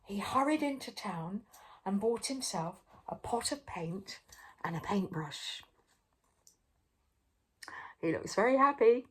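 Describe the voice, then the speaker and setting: An older woman reading a children's story aloud expressively, pausing for drama and putting questions to the listener. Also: medium pitch, expressive, a bit bright, husky. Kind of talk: animated read-aloud to young children.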